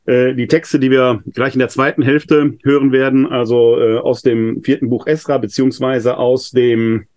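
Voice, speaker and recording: A man's voice: medium pitch, flat delivery, normal speed, a little mellow. A man with a slight cold hosting a live webinar discussion, chatty and full of hesitations. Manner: chatty, many filler hesitations. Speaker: host, New Testament scholar.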